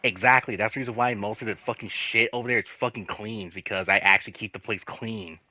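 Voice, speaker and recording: A man telling casual stories in a rambling, halting way, swearing freely and leaning on repeated filler phrases. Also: fairly low pitch, fairly even intonation, pushed and bright, no echo. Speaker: host telling personal work stories. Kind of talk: casual storytelling chat.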